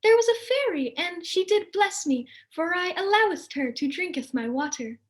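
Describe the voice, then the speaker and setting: A woman's voice: extremely high pitch, lively intonation, moderate pace, pitched above the performer's own voice. A woman voicing a kind young maiden who speaks in a prim, earnest, old-fashioned way.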